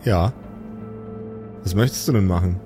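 A man's voice: deep voice, a little slow, dark tone. A man acting as game master, moderating a tabletop game in an informal, colloquial way. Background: background music.

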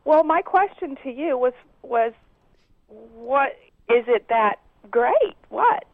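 A woman's voice: sits high, expressive, takes it slowly, no echo. A woman caller chatting casually over a muffled, narrow telephone line. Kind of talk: casual phone conversation.

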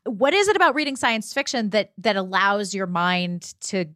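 A woman's voice: mid-range voice, expressive, normal speed, fairly bright tone, dry recording, clean studio sound. A woman hosting a casual discussion, posing questions in a conversational way. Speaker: podcast host posing questions.

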